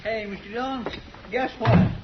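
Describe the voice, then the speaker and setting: Eager, highish-voiced frontier helper. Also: male voice, steady tone, unhurried. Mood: eager, chatty. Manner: rustic, folksy grammar. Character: eager, folksy marshal's helper, chatty and respectful. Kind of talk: 1950s radio Western acting. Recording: dull, noisy vintage radio recording.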